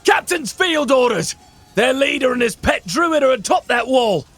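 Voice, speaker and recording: A man plays a gruff battlefield soldier in a fantasy audio drama. His voice is pushed very high and bright, calling commands urgently with big swings in pitch. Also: animated, moderate pace, exaggerated voice. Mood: urgent warning. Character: gruff male soldier urging on comrades in battle.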